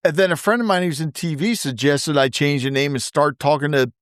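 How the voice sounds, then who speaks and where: A man's voice: on the high side, fairly quick, no room sound, studio quality. A man hosting a podcast interview over a call, easygoing, laughing often and restarting his sentences. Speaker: podcast host. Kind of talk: casual interview chat.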